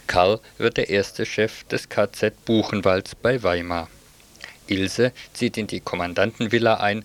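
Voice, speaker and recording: A man reading a scripted theatre review as a radio culture reporter. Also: fairly low pitch.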